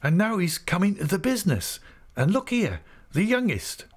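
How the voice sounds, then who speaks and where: A man's voice: sits high, sing-song, moderate pace, breathy edge, voice pushed up, heavily acted. A man reading a storybook, voicing a cheerful young woman who is a mother and a shopkeeper's daughter.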